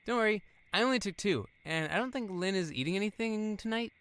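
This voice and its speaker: A woman's voice: medium pitch, expressive, quick pace, clear, resonant tone, no echo, close to an everyday voice. A woman playing an indignant, protective teenage sibling in an audio drama. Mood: annoyed.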